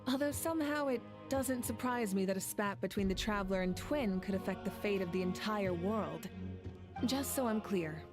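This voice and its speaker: An actress playing a composed, authoritative female war leader in an anime game, speaking in a measured way. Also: mid-range voice, expressive, over music, lightly acted. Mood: thoughtful.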